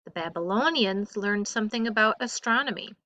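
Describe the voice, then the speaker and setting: A woman teacher reading a school textbook aloud in a deliberate, emphatic way. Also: medium pitch, expressive, pushed and bright, dry recording.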